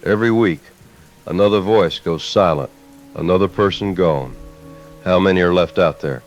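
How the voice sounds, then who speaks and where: A man acts a grim, weary base commander in a post-apocalyptic audio drama. His voice is low and heavy with burden, sent as a radio transmission over soft music. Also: normal speed, muffled recording. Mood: weary despair. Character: weary male resistance base commander after machine apocalypse.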